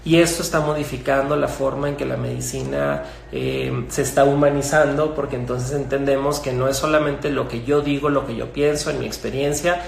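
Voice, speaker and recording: A middle-aged man with a Mexican Spanish accent, a gynecologist explaining things in a measured way with fillers and restarts. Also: mid-range voice, creaky. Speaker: gynecologist guest. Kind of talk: conversational explanation. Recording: echoey room with faint ambience.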